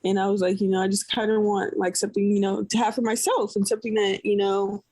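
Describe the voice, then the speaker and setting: A woman's voice: mid-range voice, level tone, quick pace, dark tone, no room sound. A woman from the San Francisco Bay Area, a guest chatting casually on a podcast and rambling with lots of filler words. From San Francisco Bay Area, USA. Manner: rambling, many fillers like "you know". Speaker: guest, cloth diaper brand owner. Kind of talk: casual interview chat.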